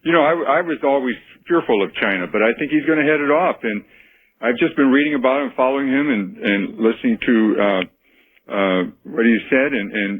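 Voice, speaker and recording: An American man calling in to a radio show with his opinions, earnest and halting, often repeating words as he goes. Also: mid-range voice. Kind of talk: call-in opinion talk. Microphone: telephone. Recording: muffled noisy phone call on radio.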